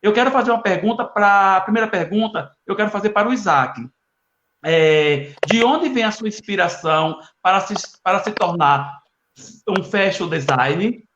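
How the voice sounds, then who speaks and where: A man with a Brazilian accent hosting a live online talk, speaking hesitantly as he introduces and asks questions. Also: sits high. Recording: echoey, muffled, noisy internet live stream.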